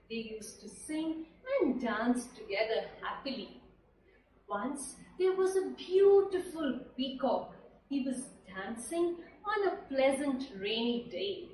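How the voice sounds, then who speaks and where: A young woman telling a children's story in a slow, sing-song way for a school assembly. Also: high voice, slight background noise. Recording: echoey room, distant mic.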